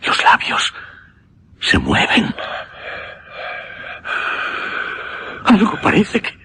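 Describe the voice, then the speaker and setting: An actor playing a grave mesmerist who recounts an uncanny case in Castilian Spanish, with deliberate, suspenseful pauses, in radio drama style. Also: male voice, high voice, huge pitch swings, exaggerated voice. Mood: tense, hushed. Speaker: narrator-protagonist. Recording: noisy radio drama recording.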